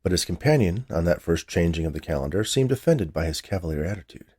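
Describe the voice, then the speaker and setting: Man, short story narration, husky tone, lowish pitch, animated intonation. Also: quick pace. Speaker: writer reading own story.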